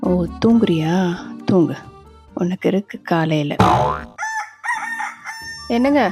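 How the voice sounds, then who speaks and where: A woman's voice: fairly low pitch, animated, slow pace, loud music behind the voice. A woman playing a suspicious, bossy wife in a comedy skit, with a Tamil Nadu accent. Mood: menacing sweetness.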